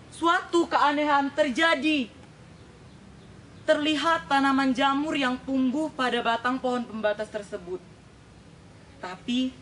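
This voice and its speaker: Young female storyteller, high-pitched, deliberate dramatic folktale delivery, faint noise behind. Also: fairly bright tone, low-quality recording. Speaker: storytelling contestant.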